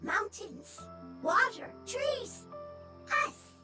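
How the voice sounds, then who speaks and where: A woman voicing a small, timid, childlike mushroom creature in a video game, earnest, speaking in halting broken fragments. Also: very high voice, very animated, music in the background, heavily acted. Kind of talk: video game character acting.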